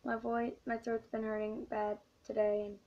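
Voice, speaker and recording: A teenage girl vlogging late at night, hoarse and quiet, speaking in a hushed, halting way with many fillers. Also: on the high side, completely flat delivery, muffled recording. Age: teens. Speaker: YouTuber. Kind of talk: late-night confessional vlog.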